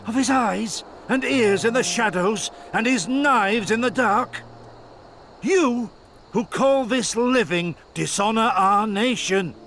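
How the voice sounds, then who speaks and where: An actor playing an impassioned rebel leader in a fantasy game, speaking grandly and deliberately like a practised orator. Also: male voice, sits high, fairly bright tone, a little hiss or hum, full character voice. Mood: rousing, defiant. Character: impassioned male rebel leader, rousing orator, world-weary mentor to soldiers. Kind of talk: theatrical fantasy game acting.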